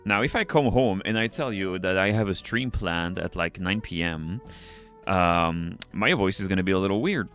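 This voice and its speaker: A man with a deep voice, English not his first language, chatting casually on a livestream as he draws, rambling, muttering to himself and swearing now and then. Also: moderate pace, a bit bright, faint music behind. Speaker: streamer. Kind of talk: casual livestream chat while drawing.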